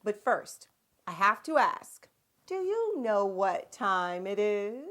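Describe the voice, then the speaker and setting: A woman's voice: medium pitch, big pitch swings, slow, a bit bright. A woman hosting a children's read-aloud show, talking straight to young viewers in a sing-song manner.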